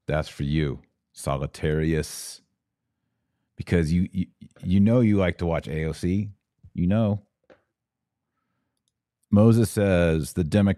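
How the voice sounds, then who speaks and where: Very deep, mellow-voiced male podcast host. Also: no room sound, clean studio sound. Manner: conversational, wry joking asides. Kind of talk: casual livestream commentary, reading out viewer comments.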